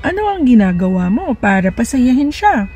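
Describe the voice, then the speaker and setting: A woman's voice: a little high, big pitch swings, normal speed, dark tone, recorded from a distance, soft background music. A woman playing a doting, inquisitive mother talking to her small child in a storybook. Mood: curious. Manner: inquisitive, indulgent.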